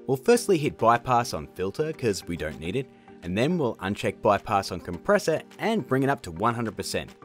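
Lively man narrating a tutorial over music. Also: mid-range voice. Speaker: tutorial host. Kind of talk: casual screen-recorded tutorial narration.

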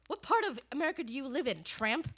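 A woman's voice: high voice, big pitch swings, fast talker, fairly bright tone. A young woman playing a scornful, betrayed Mrs. Claus in comic radio theater, melodramatically indignant. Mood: scornful, suspicious. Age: young adult. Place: small studio stage, indoors. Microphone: studio mic on stand.

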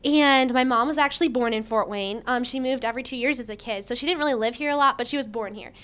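Chatty young woman, smooth clear voice. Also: fairly high pitch, quick pace. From Michigan. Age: young adult. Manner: chatty, animated, lots of likes and ums, gestures with her hands. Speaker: job candidate. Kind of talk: casual interview answers. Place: indoor room before a banner. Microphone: handheld mic held by the interviewer.

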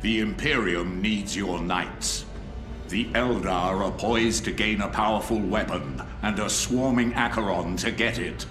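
A stern male Inquisitor played by a man in a game cutscene, speaking low and with grave authority. His voice rings in a roomy space over music. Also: measured pace, lightly acted. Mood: urgent, formal. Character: stern, imperious male Inquisitor pleading for military aid. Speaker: game character. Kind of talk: dramatic video game cutscene acting. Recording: roomy echo, music underneath.

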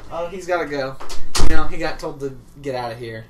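A man's voice: fairly high pitch, a little fast, recorded from a distance. A young man giving casual, joking let's play commentary, rambling and swearing freely.

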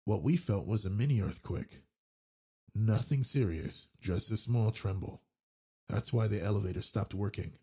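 Husky, flirty werewolf alpha acted by a man. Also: lowish voice. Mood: calm, wry. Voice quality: husky, gravelly. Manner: slow, soft, teasing. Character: flirty, gentle male werewolf pack alpha, big and brawny. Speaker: roleplay audio performer. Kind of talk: intimate romantic audio roleplay.

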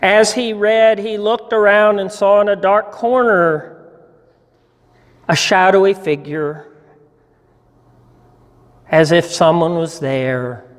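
Male storyteller, 60s, pastor, high-pitched for a man, measured dramatic delivery, reverberant hall. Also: fairly even intonation. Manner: measured, deliberate storytelling with pauses. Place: church sanctuary, standing at a lectern.

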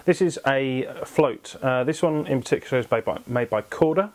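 A man's voice: medium pitch, normal speed. A young man in his twenties, host of an angling channel, explaining tackle to camera from a small home office with lots of fillers and self-corrections.

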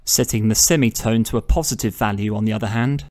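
A man with a fairly low voice walks through a software tutorial step by step. His delivery is measured and instructional, like a channel host explaining each click. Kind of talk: step-by-step screen tutorial narration.

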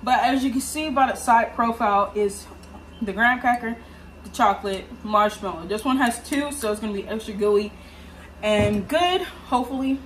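A woman's voice: fairly high pitch, moderate pace. A young American woman chatting casually and playfully in slang to viewers as she hosts a home cooking vlog. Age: young adult. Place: small home kitchen, indoors. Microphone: phone or camera propped at a distance. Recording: distant mic, noisy home kitchen ambience.

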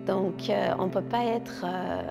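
A woman's voice: mid-range voice, slow, vocal fry, long reverb tail, over loud music. A woman, talking earnestly about her art with frequent hesitations. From Iran. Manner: earnest, hesitant with many filler sounds. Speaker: visual artist. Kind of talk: interview about her exhibition.

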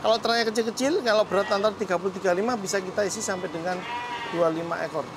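A middle-aged man, walking through outdoor livestock barns and explaining his goat farm in a relaxed, matter-of-fact way. He speaks into a lapel mic. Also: high-pitched, racing, noisy background. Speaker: goat farm owner giving a tour. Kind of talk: casual explanatory tour talk. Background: background music.

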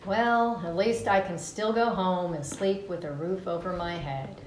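A woman's voice: on the low side, a little slow, lightly acted. A woman voicing a glum villager who makes the best of misery, in an amateur table read. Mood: resigned. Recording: roomy echo, faint background noise.

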